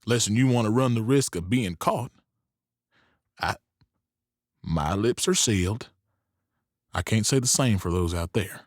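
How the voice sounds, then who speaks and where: A man playing a shrewd, protective Old West saloon owner in an audio drama, measured and knowing. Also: lowish voice, no echo, studio quality. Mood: protective warning.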